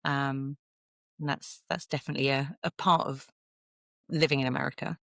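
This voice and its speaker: A woman's voice: a bass voice, fairly even intonation, moderate pace, vocal fry, a bit bright, no echo, studio quality. A woman with a southern English accent, talking casually and chattily as a podcast guest, with some self-deprecation. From south of England, London suburbs. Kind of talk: casual conversational interview.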